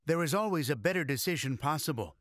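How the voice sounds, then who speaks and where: An actor voicing a wise elderly male angel mentor who speaks in a calm, measured, teaching manner. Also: a little high, no echo, clean studio sound. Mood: instructive.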